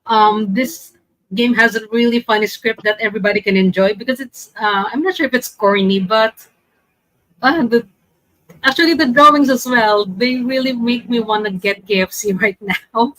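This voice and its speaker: A woman's voice: a little high, moderate pace, a bit bright, no room sound, poor audio. A woman casually presenting as a podcast panelist, a little hesitant as she searches for her words. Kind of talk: casual presentation in a group chat.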